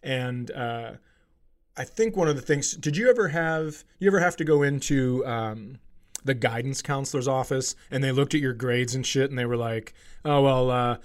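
A man's voice: mid-range voice, normal speed. A man hosting a comedy podcast, telling stories in a loose, sweary, casual chat. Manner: loose, swearing, laughs readily. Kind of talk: casual comic storytelling chat.

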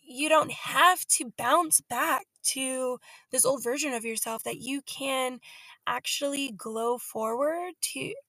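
An upbeat woman guest talks earnestly and a little promotionally about her mission and her book. Her voice is high, and the sound is dry and close. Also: slow, sharp tone, no room sound. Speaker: podcast guest, company founder and author. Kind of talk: casual interview.

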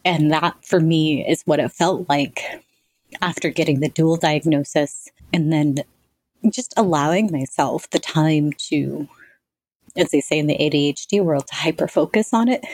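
A woman's voice: low voice, measured pace, dry recording, rough recording. A woman in her forties, a podcast guest, speaking in a reflective, articulate way about her own experience. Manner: reflective, articulate, self-described monotonous voice. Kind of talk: conversational interview answers.

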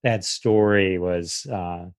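A man's voice: sits low, fairly even intonation, slow, clear, resonant tone, no room sound, studio quality. An American man chatting casually as an interview guest, a little hesitant and prone to repeating himself. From Michigan, United States. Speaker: comedian and podcaster, guest.

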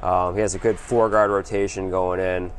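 A man's voice: deep voice, flat delivery, normal speed, slight background noise, rough recording. A man co-hosting a sports show, giving casual basketball analysis in a conversational way.

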